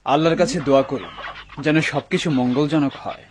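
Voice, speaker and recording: A man's voice: on the high side, moderate pace, slight background noise, natural voice. Dutiful, devout son, acted by a man. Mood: earnest. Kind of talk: dubbed cartoon acting.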